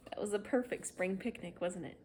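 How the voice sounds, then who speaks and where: A woman's voice: medium pitch, darkish tone, slight background noise. A woman reading a children's story aloud, narrating expressively and without hurry. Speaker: storybook reader.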